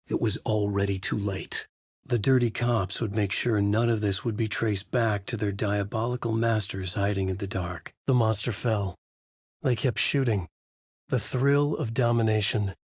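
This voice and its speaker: A man playing a brooding, haunted writer in a video game, narrating a dark noir thriller in grave, clipped, dramatic phrases with a husky voice. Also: lowish voice, fairly even intonation, a little slow, no echo, studio quality. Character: brooding, haunted male writer trapped in a nightmare.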